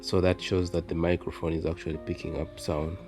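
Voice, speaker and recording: A man presenting an unscripted repair demonstration, talking in halting, piecemeal phrases as he works. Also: low voice, flat delivery, over music. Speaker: phone repair tutorial presenter. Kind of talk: unscripted hands-on demonstration narration.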